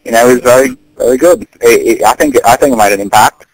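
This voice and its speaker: A man playing an earnest, concerned British neighbour on a prank phone call, speaking haltingly and repeating his words. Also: medium pitch, no room sound, close to an everyday voice.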